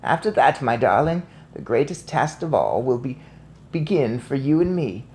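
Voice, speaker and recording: A woman voicing a fierce, bossy, excitable old grandmother in an emphatic, theatrical storybook reading. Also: rumbling low, moderate pace, exaggerated voice. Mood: triumphant, scheming. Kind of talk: storybook read-aloud.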